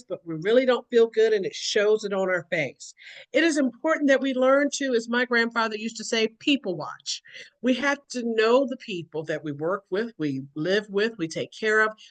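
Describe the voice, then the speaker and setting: A woman's voice: fairly high pitch, low-quality recording. Woman, educator, earnest explanatory talk, acts out examples.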